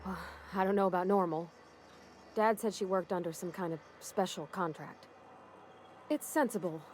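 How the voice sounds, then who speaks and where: A young woman acting an eager, awkward ship mechanic in a video game, with a folksy, halting, earnest manner. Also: fairly low pitch, darkish tone, slight background noise, lightly acted. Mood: reflective, frank. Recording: music behind the voice.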